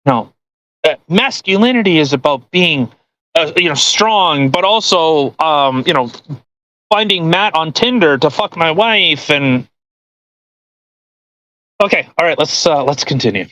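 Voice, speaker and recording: A podcast co-host with a medium-pitched voice talks casually and reads aloud in between. The delivery is crude and mocking, with plenty of swearing thrown into the commentary. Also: lively intonation, measured pace, long pauses, fairly bright tone, dry recording, low-quality recording. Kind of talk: casual commentary while reading a post aloud.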